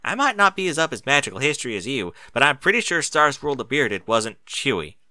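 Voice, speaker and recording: A man's voice: medium pitch, very animated, fairly quick, sharp tone, no room sound, studio quality. A man voicing a bossy, down-to-earth female farm pony in a story, with a blunt, folksy manner. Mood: grumbling. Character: bossy, down-to-earth country farm pony mare, protective elder sister.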